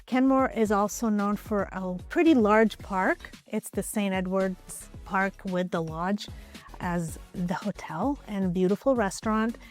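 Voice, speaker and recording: A woman from Ukraine, a real estate agent, answering interview questions in a relaxed, conversational way, often hedging with "I would probably say.". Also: medium pitch, measured pace, soft background music. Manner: relaxed, conversational, with frequent hedges.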